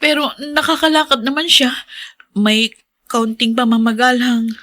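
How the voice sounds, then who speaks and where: A woman's voice: fairly high pitch, measured pace, a bit bright. A woman playing an anxious, deferential Filipino wife in a radio drama, pleading on behalf of her husband. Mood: grateful, humble. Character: distraught, pleading, grateful wife of an injured man.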